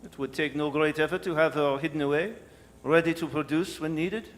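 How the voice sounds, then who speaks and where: A man's voice: on the high side, fairly even intonation, fairly quick, close to an everyday voice. A man playing a suave, scheming French villain with a French accent, courtly, wordy and sardonic, in a radio drama. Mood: smug. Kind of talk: staged radio drama performance. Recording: live hall, long reverb, noisy.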